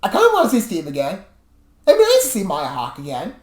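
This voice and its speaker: Woman, reaction host, lively swooping intonation, casual rambling commentary, self-correcting, echoey room. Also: lowish voice, fast talker. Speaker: reaction video host and reviewer. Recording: roomy, echoey room sound.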